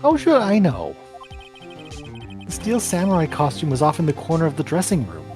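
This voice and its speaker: Hapless male game defendant, played by a man: meek, trusting, earnest, animated pitch. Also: moderate pace, soft background music. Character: meek, naive, trusting male actor accused of crime. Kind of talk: amateur video game voice-over read.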